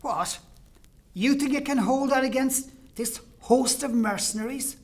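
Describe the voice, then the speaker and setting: A man's voice: extremely high pitch, a little slow. A man playing a commanding, suspicious Gaelic chieftain in an audio play, with an imperious, emphatic delivery. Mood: sceptical challenge. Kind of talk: audio play, declaimed dialogue.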